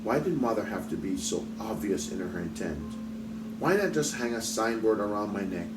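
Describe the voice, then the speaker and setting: A man reading a story aloud in an expressive audiobook style, as a teacher would for a book club. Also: on the low side, moderate pace, distant mic, noisy background. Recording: roomy echo, off mic, music behind.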